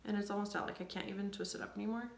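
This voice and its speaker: A young woman with a sniffly, cold-stuffed voice and plenty of gravelly fry talks casually through a makeup routine. She rambles with lots of fillers and slips into soft near-whispers. The sound is roomy and echoey. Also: medium pitch, steady tone, rapid-fire. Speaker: beauty vlogger. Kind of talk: casual makeup tutorial chat. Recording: echoey room.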